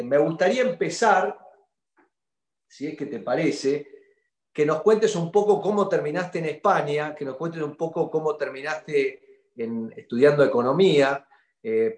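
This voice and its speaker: A man hosting an interview, talking haltingly with many fillers and repeated words. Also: medium pitch, roomy sound. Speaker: interviewer host. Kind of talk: hesitant conversational interview.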